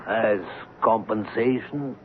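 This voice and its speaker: An actor playing a weary, bitter colonial ivory trader in a 1970s radio drama. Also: male voice, on the low side, slow. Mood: scheming, insistent. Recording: narrow-band, noisy old radio broadcast.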